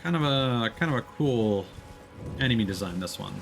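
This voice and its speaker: A man's voice: mid-range voice, lively intonation, moderate pace, fairly bright tone, soft background music. A man giving casual let's play commentary as a game streamer, musing and thinking aloud. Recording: clear background noise behind voice.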